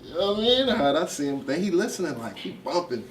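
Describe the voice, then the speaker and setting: A man's voice: a little high, very animated, fast, slight background noise. A young man from Brooklyn, telling stories and joking as an interview guest. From Brooklyn, New York. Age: young adult. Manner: storytelling, joking, street slang. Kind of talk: casual chat. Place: indoor studio with backdrop. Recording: distant from the mic.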